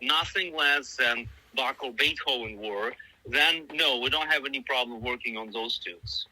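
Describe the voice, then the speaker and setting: Bright-voiced man with a Russian first language. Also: mid-range voice, unhurried, dry recording, low-quality recording. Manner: thoughtful, rambling, many fillers and restarts. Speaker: musician guest. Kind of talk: casual interview answers.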